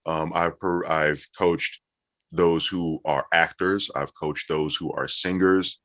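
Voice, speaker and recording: A man's voice: deep voice, fairly even intonation, slow pace, fairly bright tone. A man answering questions conversationally as an interview guest, a voiceover actor and coach. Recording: roomy echo, dull muffled sound.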